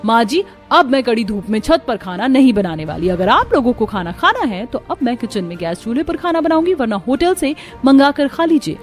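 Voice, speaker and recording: A woman's voice: on the high side, big pitch swings, brisk, hardly any pauses, soft background music. A woman voicing an exasperated young daughter-in-law in a cartoon story, plaintive but firm as she complains. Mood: defiant. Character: exasperated, defiant young daughter-in-law overworked by stingy in-laws.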